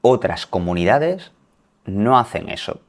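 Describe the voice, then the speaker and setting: Male teacher, low and lively voice; clear, simple storytelling for learners. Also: moderate pace. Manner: clear explanatory delivery, rephrasing words. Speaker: language teacher in a video.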